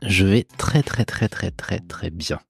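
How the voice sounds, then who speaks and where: A man's voice: sits low, slow pace, vocal fry, husky, faint music behind. A man in his forties, a podcast guest talking casually and reflectively, with informal asides and emphatic repetition.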